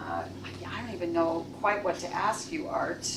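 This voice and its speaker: A woman's voice: fairly low pitch, vocal fry. Older woman asking folks questions at a club meeting, chatty, jokey, roomy echo, kinda far from the mic. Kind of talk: casual onstage interview. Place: meeting room. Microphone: far camera. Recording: echoey room, far off, background noise.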